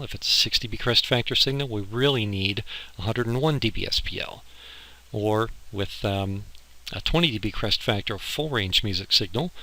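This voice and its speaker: A man with a lowish, very bright and tense-sounding voice delivers a slide lecture. He explains things informally, one step at a time, as an instructor would to students. The amateur recording has a harsh edge. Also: creaky, rough recording. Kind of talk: slide lecture on audio engineering.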